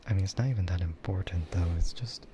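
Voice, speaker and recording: A man doing casual ASMR stream chat, soft, breathy and mostly whispered, in a hesitant, rambling way. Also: deep voice. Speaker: streamer, VTuber. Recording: dull, muffled audio with loud ambient noise.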